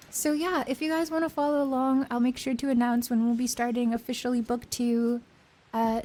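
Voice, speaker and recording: A young woman whose voice is worn out and hoarse after a long reading session. She talks casually to her stream, rambling with fillers and little giggles. Her voice sits a bit high. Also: darkish tone. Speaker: streamer reading a book aloud. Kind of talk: casual stream chat after a read-aloud.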